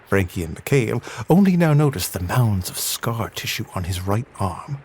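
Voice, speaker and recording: A man's voice: on the low side, big pitch swings, moderate pace, a little mellow, husky. A man delivering scripted third-person narration for an adventure audio drama.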